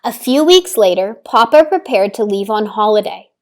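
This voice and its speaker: A woman reading a children's picture book aloud with deliberate, careful phrasing for young listeners. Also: medium pitch, animated. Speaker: storybook reader. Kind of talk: children's story read-aloud, scripted narration.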